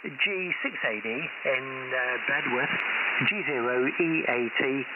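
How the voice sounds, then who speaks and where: Man, mid-pitched voice, shortwave radio, loud static, courteous casual chat. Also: expressive, slow, narrow, tinny audio. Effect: shortwave single-sideband radio. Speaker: amateur radio operator. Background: loud radio noise.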